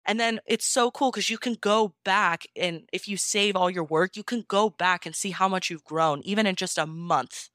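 A woman's voice: mid-range voice, moderate pace, few pauses, fairly bright tone, no echo, clean studio sound. A woman talking casually and enthusiastically as an interview guest, in an informal, encouraging way. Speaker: podfic narrator being interviewed.

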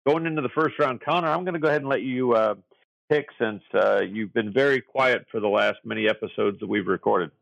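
A man's voice: medium pitch, a little fast, creaky, fairly bright tone, no echo, lo-fi sound. A man co-hosting a podcast in a casual, rambling way, full of fillers and restarts as he leads a community segment and trivia. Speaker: podcast co-host running trivia.

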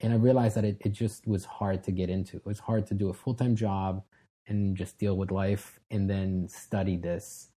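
A man in his thirties from Israel, a guest telling his story in a relaxed, casual way with frequent restarts. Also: sits low, moderate pace, husky. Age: 30s. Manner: relaxed, frequent restarts and fillers, self-deprecating. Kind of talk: casual interview talk.

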